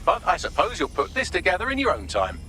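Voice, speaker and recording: An actor voicing a chatty, sardonic AI assistant in a video game, dry and wordy. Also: male voice, high-pitched, wildly swooping pitch, fast, pushed and bright, slight background noise, full character voice. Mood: dryly knowing. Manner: wry, sardonic, verbose.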